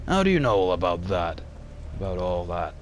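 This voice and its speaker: Man voicing a middle-aged tv presenter, low, quiet, hesitant, stammering. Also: big pitch swings, moderate pace, a little hiss or hum. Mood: defensive. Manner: hesitant, subdued, stammers when cornered. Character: defensive middle-aged male TV presenter, deflated. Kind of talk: amateur motion comic voice acting.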